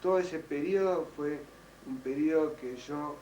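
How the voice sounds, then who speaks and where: Older man, native French speaker, halting with restarts, roomy room sound, set back from the mic. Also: a little high, darkish tone. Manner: halting, many restarts and fillers. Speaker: interviewee, child survivor. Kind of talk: recorded oral history testimony. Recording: roomy, distant, faint noise.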